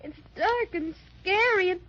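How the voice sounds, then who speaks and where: A woman's voice: very high-pitched, measured pace, a bit bright. A woman playing a polite, earnest, timid little girl in a 1930s children's radio serial. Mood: frightened. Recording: muffled 1930s radio recording.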